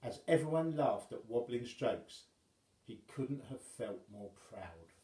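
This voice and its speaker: A man reading a rhyming children's story aloud as an expressive storyteller. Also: mid-range voice, slow pace, echoey room.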